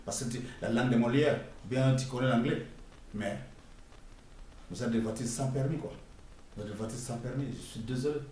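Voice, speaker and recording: Middle-aged Congolese man, French with Congolese accent, scolding vlogger, mid-pitched, mic distant, heavy echo. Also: darkish tone. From Democratic Republic of the Congo. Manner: emphatic, scolding, halting with frequent pauses. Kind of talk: casual spoken commentary. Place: outdoors near a construction site. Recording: echoey and distant with faint ambience.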